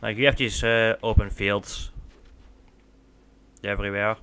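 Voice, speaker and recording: A young man whose first language is Dutch talks through a game in English, loose and rambling, full of "uh" and "like" and restarted sentences. His voice sits fairly low but comes across bright and forceful. The sound is smooth and resonant. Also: flat delivery, unhurried. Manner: rambling, filler-heavy with many restarts. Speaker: gaming channel host. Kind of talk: casual let's play commentary.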